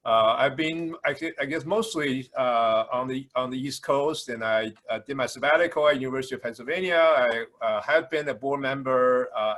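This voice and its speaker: Halting older man with a Taiwanese accent. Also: mid-range voice, a bit bright. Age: 60s. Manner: halting, frequent hesitations and repetitions. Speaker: university administrator introducing himself. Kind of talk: informal introductory speech. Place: video call.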